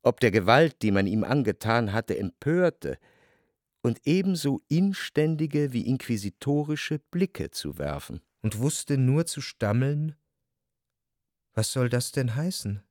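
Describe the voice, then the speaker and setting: A man's voice: mid-range voice, a little mellow, dry recording. Dry-sounding reflective male literary narrator. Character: reflective, ironic literary narrator, a Parisian gentleman. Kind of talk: scripted literary narration in radio drama.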